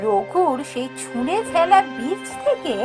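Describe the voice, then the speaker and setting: A woman's voice: sits high, big pitch swings, measured pace, over music. A woman storyteller reading scripted fairy-tale narration.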